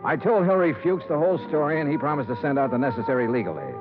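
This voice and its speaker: A man's voice: a little high, expressive, very fast, natural voice. Male actor for tough, world-weary radio sleuth: clipped, wry, very varied intonation, narrow vintage broadcast sound. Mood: wry, brisk. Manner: clipped, terse, punchy phrasing. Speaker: star of the radio series. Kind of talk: 1950s radio detective acting and narration. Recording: tinny vintage 1950s radio recording, music.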